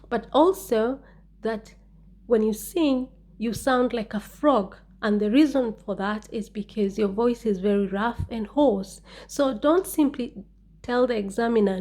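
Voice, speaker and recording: Slow, mellow-voiced woman teaching English. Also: fairly high pitch. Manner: slow, careful, repeats model answers. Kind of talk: exam preparation lesson.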